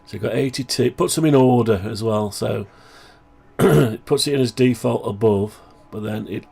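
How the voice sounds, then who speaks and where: A man talking through a project update on his own software, rambling and thinking aloud, voice groggy from the flu. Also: medium pitch, moderate pace. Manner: rambling, thinking aloud, frequent throat clearing. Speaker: hobbyist maker showing his own software. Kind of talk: casual screen-share project update.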